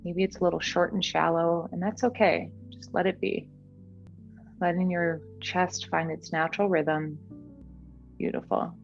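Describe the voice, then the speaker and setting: A woman guiding a meditation in a gentle, soothing, unhurried manner. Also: fairly low pitch, fairly even intonation, creaky, lo-fi sound. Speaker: meditation guide. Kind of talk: guided meditation. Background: background music.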